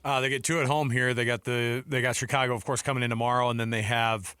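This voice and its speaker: A man hosting sports radio in a casual, rambling way, building up to his questions with halting repeats. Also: mid-range voice, flat delivery, pushed and bright, smooth, dry recording, clean studio sound.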